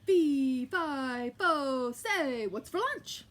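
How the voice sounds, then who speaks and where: A woman voicing a grumpy, hungry male giant who booms his complaints loudly. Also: lively intonation, extremely slow, exaggerated voice. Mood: grumbling.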